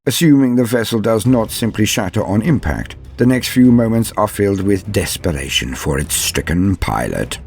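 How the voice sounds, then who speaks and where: Male narrator for scripted lore: theatrical and grave, low register with wide, expressive swings. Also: vocal fry, slight background noise. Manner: grave, theatrical, measured storytelling. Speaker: lore channel narrator and host.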